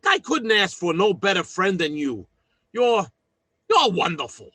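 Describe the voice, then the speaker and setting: A man's voice: high voice, big pitch swings, unhurried, background noise. An actor playing a good-hearted, blustery working-class father in an old-time radio comedy, folksy and ungrammatical, with sentimental turns.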